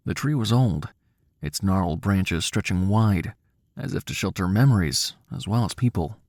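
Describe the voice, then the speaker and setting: A man narrating a scripted story in a cinematic, dramatic style, with a measured, solemn, hushed manner. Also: low-pitched, expressive, dry recording, studio quality.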